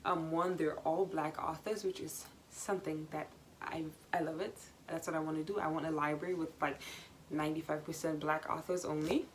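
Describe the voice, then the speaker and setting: Chatty young woman vlogging quickly. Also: low-pitched, steady tone, a little hiss or hum. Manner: fast, chatty, laughing, lots of ums and repeats. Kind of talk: casual talk to camera.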